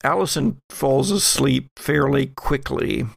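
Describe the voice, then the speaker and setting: A man runs a horror tabletop game as its narrator. He speaks slowly and descriptively, with a slightly creaky, mid-pitched voice. The sound is dry and close. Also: no echo. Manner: measured, descriptive storytelling. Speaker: game master.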